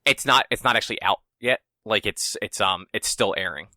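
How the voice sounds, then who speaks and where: A man's voice: fairly low pitch, a little fast, bright, forceful tone, dry recording, studio quality. A man co-hosting a podcast, talking in a laid-back, rambling way and swearing casually. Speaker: podcast co-host. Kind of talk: casual chat.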